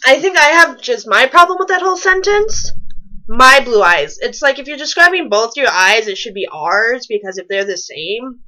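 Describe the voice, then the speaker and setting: A woman's voice: on the high side, expressive, moderate pace, sharp tone, poor audio. A woman reading a story aloud and commenting on it with wry, nitpicking asides. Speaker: fan fiction reader and commentator.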